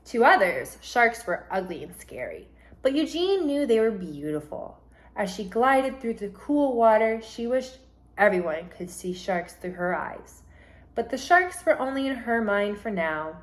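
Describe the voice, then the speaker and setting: Slow, expressive woman teacher reading aloud. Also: fairly high pitch. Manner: deliberate, expressive, instructive. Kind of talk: storybook read-aloud with vocabulary explanations.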